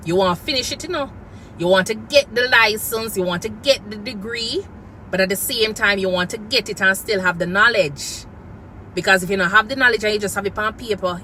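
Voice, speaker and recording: Jamaican woman with a bright, earnest voice. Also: mid-range voice, a little hiss or hum, low-quality recording. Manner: earnest, preachy, slips into patois phrases. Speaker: nurse and nursing coach. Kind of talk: casual vlog talk to camera.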